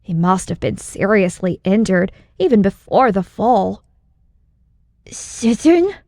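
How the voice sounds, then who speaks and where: A woman's voice: mid-range voice, measured pace, darkish tone, no echo, studio quality. A woman reading scripted story narration as an audiobook narrator.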